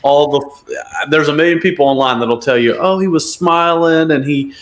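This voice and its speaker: A man's voice: fairly high pitch, normal speed. A man co-hosting a casual podcast, giving emphatic, opinionated takes. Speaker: podcast co-host. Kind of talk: casual wrestling podcast chat. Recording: roomy echo.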